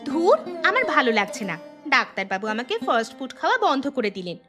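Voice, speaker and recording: A woman voicing a sulky high-pitched young wife. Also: big pitch swings, fast talker, music in the background.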